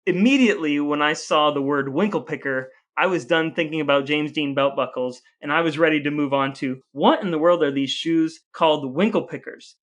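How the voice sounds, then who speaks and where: A man with a slightly high voice talks as a podcast co-host. He tells his stories casually, with a wry, joking turn to his phrasing. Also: fairly bright tone. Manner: wry, jokey. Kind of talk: casual conversational storytelling.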